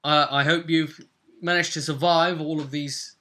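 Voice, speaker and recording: Bright-voiced man hosting a roleplay recap. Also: on the high side, steady tone, unhurried. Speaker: game master and show host. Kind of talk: casual recap chat about a tabletop roleplay session.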